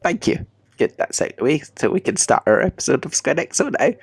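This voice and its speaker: A man with a head cold, his voice croaky, chatting loosely as a podcast host. He laughs at his own slip-ups and keeps things light. The sound is dry and close, with little room around it. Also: fairly high pitch, very animated, fairly quick, no room sound. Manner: chatty, self-mocking, laughs often. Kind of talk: casual chat.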